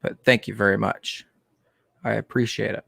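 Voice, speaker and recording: Monotone guy chatting on his fish-tank livestream, gravelly, dry sound, lots of uhs. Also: fairly low pitch, no echo. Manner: plain, unhurried talk with many fillers and restarts. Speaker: aquarium hobby live streamer. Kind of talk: casual live stream chat.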